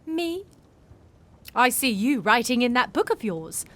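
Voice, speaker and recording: A woman's voice: a little high, very animated, measured pace, a bit bright, full character voice. A woman acting a free-spirited, dreamy young sailor in a Regency-era audio drama, poetic and full of wonder. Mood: gentle, reflective. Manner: wonder-filled, poetic, effusive. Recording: music bed behind the voice.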